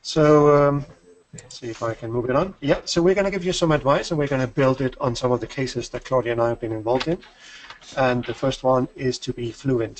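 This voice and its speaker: A man presenting a webinar over a video call, measured and explanatory. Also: mid-range voice, slight background noise, poor audio. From Denmark.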